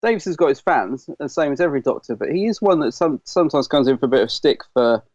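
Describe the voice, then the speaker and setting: A middle-aged British man with a slightly croaky, dry throat, chatting casually and enthusiastically as a podcast host. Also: a little high, lively intonation, fast talker, darkish tone, no room sound, muffled recording. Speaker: podcast host reviewing Doctor Who. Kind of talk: casual chat.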